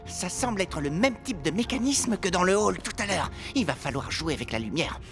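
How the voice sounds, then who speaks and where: A woman's voice: lowish voice, animated, a little fast, music in the background. A woman voicing a bold, curious young adventurer in a children's cartoon, with a keen, determined delivery. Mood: focused. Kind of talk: animated children's cartoon acting.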